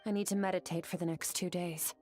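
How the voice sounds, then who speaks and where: A woman playing a stoic, aloof warrior woman in a video game, terse and serious, with a low, husky voice. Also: steady tone, fast, darkish tone, no echo, faint music behind, natural voice. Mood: stoic, matter-of-fact.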